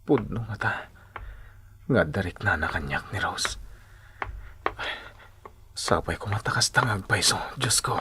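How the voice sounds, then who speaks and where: A man's voice: fairly low pitch, lively intonation, measured pace, long pauses. An actor with an Ilocano Filipino accent playing a bashful young man secretly in love, in radio drama style. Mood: wistful musing.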